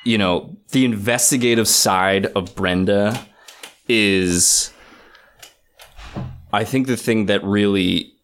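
A man's voice: lowish voice, slow, long pauses, fairly bright tone, a little hiss or hum. A man co-hosting a casual film discussion, earnest and thinking aloud as he goes. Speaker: podcast co-host.

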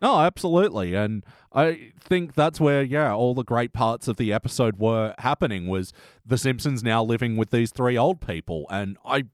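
A man's voice: medium pitch, expressive, normal speed, no room sound, studio quality. A man hosting a casual review podcast about a TV cartoon, chatting in a sweary, bantering way. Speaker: podcast host.

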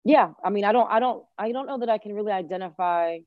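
A woman's voice: mid-range voice, quick pace, no echo, phone-quality sound. A woman from Cuba who grew up in Washington D.C., speaking casually and emphatically as an interview guest. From born in Cuba, grew up in Washington D.C.

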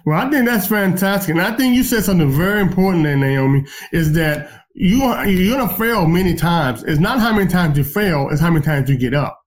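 A man's voice: high-pitched, expressive, brisk, few pauses. A man hosting an interview and giving motivational advice in a lecturing way. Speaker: host. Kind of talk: encouraging interview talk.

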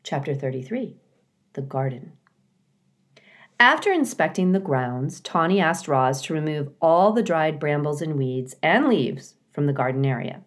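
A woman reading a children's book aloud as scripted narration, in a measured, expressive storytelling way. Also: sits low. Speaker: storybook reader.

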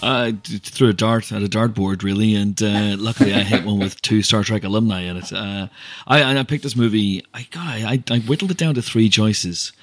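A man with a husky voice, hoarse from shouting, chatting casually about films as a podcast guest in a rambling, self-interrupting, jokey way. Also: on the low side, fairly quick. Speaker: podcast guest, film journalist. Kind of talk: casual film chat.

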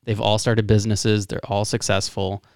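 A man's voice: fairly low pitch, flat delivery, moderate pace, a little mellow, clean tone, no echo, studio quality. A man from Florida hosting a podcast, chatting informally and often saying "like". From West Palm Beach, Florida, United States. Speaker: podcast host. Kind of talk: casual conversational interview.

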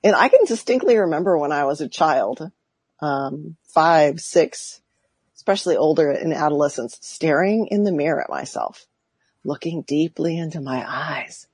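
Woman life coach, husky voice, dry close sound, reflective and laughing. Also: sits low, moderate pace, darkish tone, no room sound. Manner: reflective, laughing, thinks aloud with fillers. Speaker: podcast guest, life coach. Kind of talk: casual interview chat.

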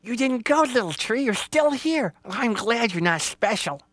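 Small storybook rabbit acted by a man: high, skittish, sweet and anxious. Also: lively intonation, unhurried, a bit bright, no echo, exaggerated voice. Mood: delighted.

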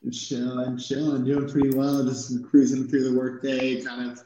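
A man's voice: mid-range voice, monotone, normal speed, warm tone, very echoey, muffled recording. A man in his twenties from New Jersey, a podcast guest talking casually and laughing often, with lots of fillers. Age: 20s. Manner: laid-back, laughs often, many fillers. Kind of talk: casual chat.

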